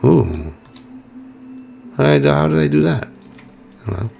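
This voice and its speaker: A hoarse man giving casual, musing let's-play commentary with plenty of fillers while he plays. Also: a little high, sing-song, takes it slowly, warm tone, slight background noise. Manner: musing, chatty, many fillers. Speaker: game streamer.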